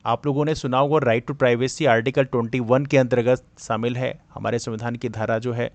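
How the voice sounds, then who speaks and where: A man's voice: mid-range voice, steady tone, normal speed, no echo, muffled recording. A man giving an explanatory lecture as a coaching teacher, didactic and mixing in English terms. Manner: didactic, code-switches between Hindi and English. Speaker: exam coaching teacher and presenter. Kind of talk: explanatory lecture on current affairs.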